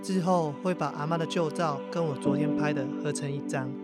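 A man's voice: on the high side, fairly even intonation, brisk, lightly acted. A man playing a young university student, a sulky but caring grandson who mutters expressively to himself in an everyday radio drama. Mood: apologetic, gentle. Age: young adult. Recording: music under the voice. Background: new-age music.

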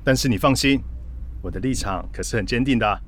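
A man's voice: mid-range voice, a little fast, slight background noise, lightly acted. An actor playing a placating, evasive boyfriend in his twenties in a radio drama, coaxing in a Taiwanese accent. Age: 20s. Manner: coaxing, excuse-making.

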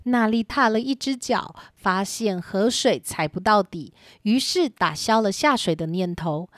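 A woman's voice: mid-range voice, lively intonation, unhurried, no room sound, studio quality. A woman narrator reading a scripted story for children.

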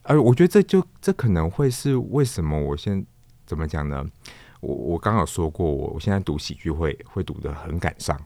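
A man's voice: deep voice, sing-song, fairly quick, gravelly, a little mellow, no room sound. A middle-aged man with a Taiwanese accent talking reflectively as an interview guest, searching for his words and repeating phrases. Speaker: guest, stage actor and storyteller.

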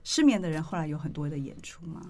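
A woman hosting an interview in a measured, earnest, reflective way. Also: low voice, big pitch swings, a little mellow. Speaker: interview host.

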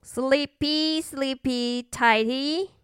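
A woman voicing a brisk, motherly storybook queen with an emphatic manner. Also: sits high, a bit bright, no room sound, clean studio sound, exaggerated voice. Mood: soothing.

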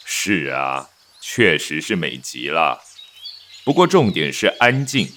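Deep, bright-voiced, patronizing young husband, acted by a man. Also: big pitch swings, slow, a little hiss or hum, full character voice. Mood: self-assured, breezy. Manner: hearty, patronizing, self-assured. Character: controlling, falsely cheery young 19th-century husband. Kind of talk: Mandarin radio drama acting.

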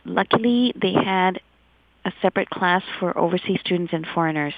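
Middle-aged woman, gravelly lower voice. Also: normal speed, no echo. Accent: American-leaning English picked up in Taiwan. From India. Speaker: guest, simultaneous interpreter. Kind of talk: casual interview chat. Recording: dull lo-fi sound.

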